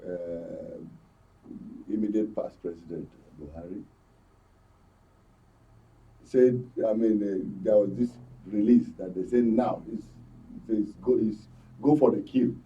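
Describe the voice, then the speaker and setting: A man's voice: on the low side, slow, pauses often. A man with a Nigerian accent giving political analysis as a guest in a discussion. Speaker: panel guest, political commentator. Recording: muffled, slightly distant microphone.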